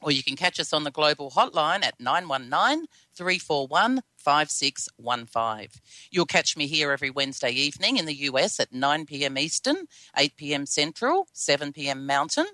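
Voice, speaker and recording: A woman's voice: deep voice, sing-song, normal speed, sharp tone, no echo. A woman with an Australian accent hosting a radio show, chatty and upbeat, with a slightly scratchy voice. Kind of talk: live radio hosting and interviewing.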